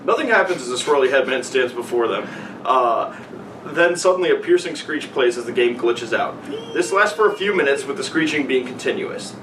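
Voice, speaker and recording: A man's voice: mid-range voice, expressive, normal speed. A young man co-hosting a podcast, urging others along between casual banter and reading a story. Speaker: podcast co-host. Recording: echoey noisy room, distant mic.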